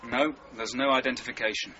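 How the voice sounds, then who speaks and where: A quiet, businesslike detective played by a man with a sharp, bright edge and clipped delivery. The radio drama sound is a bit dull, with faint ambient noise. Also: mid-range voice, fairly even intonation, a little fast, close to an everyday voice. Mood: brisk, intent. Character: brisk, observant detective examining a crime scene. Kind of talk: naturalistic radio drama acting.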